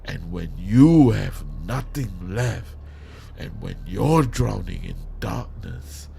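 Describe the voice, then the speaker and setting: A man acting a grieving, unhinged father turned vengeful villain in a dramatic audio monologue, with menacing, anguished delivery and long pauses. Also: fairly low pitch, animated, vocal fry, mellow, a little hiss or hum, exaggerated voice. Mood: cold menace.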